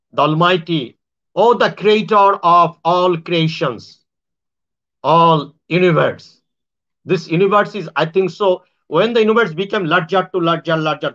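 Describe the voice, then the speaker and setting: Man, Bangladeshi accent, high-pitched voice, halting and repetitive, muffled lo-fi audio. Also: a bit bright. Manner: halting, repetitive phrasing with frequent restarts. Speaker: astrologer and self-styled spiritual speaker. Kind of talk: monologue to viewers.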